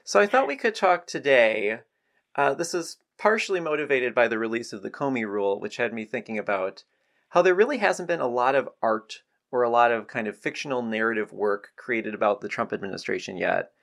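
A man's voice: medium pitch, animated, brisk, gravelly, a bit bright. A man hosting a podcast, introducing and chatting in an interview style.

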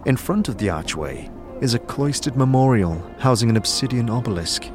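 A man's voice: medium pitch, animated, moderate pace. A man narrating a scripted fantasy story as a storyteller. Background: music.

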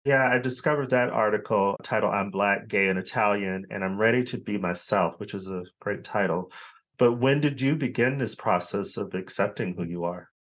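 A man's voice: lowish voice, moderate pace, a bit bright. A man hosting an interview, curious and encouraging toward his guest. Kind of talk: interview conversation.

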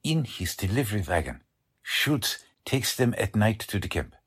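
A man playing a humble, kindly immigrant baker in a radio drama, with a halting, gentle way of repeating himself. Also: on the low side, sing-song, slightly rough, exaggerated voice. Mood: humble, earnest. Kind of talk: old-time radio drama acting.

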